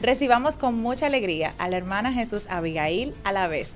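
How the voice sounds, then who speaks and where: A woman, a religious sister hosting a podcast in clear presenter and interview talk. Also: mid-range voice, lively intonation, a little fast, soft background music. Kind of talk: scripted intro and warm interview talk.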